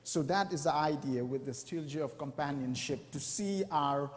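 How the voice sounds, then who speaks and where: Man, mission speaker, earnest and explanatory with a slightly high voice, reverberant church hall. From India. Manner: earnest, explanatory, pauses often to find words. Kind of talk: church presentation talk.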